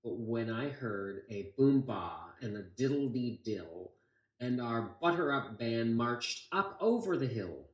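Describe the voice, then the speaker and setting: A man reading a rhyming children's story aloud expressively, with deliberate emphasis on phrases. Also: medium pitch, very animated. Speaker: volunteer storybook reader. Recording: echoey room.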